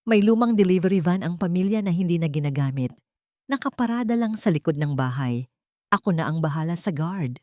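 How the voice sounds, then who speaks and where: A woman narrator voices a worried, brave aunt with a Filipino accent in an audiobook drama. She sounds earnest and urgent, in a dry recording with varied pitch. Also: lively intonation, fairly quick, mellow, dry recording, natural voice. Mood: worried, resolute.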